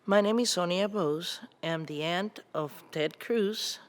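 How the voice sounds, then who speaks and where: An older woman with a Cuban Spanish accent recalls her own life for an interviewer. She speaks in an earnest, measured way, stopping often to find her words. A long reverberant tail trails each phrase. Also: lowish voice. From Cuba. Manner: earnest, measured storytelling with pauses. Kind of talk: recounting personal memories in an interview.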